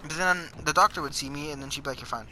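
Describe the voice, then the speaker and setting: A man's voice: on the high side, very fast, bright, forceful tone. A young man chatting casually in a rambling, sweary storytelling way, as if to a friend while gaming. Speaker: gamer telling stories to a friend. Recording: noisy gaming voice chat with clicks.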